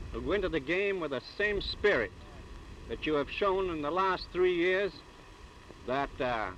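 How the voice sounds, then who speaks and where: An American man speaking as a baseball coach in a halting, plain-spoken, informal way on 1930s newsreel sound. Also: on the high side, pushed and bright, muffled recording, slight background noise. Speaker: baseball club figure, coach. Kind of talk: informal pep talk and demonstration for camera.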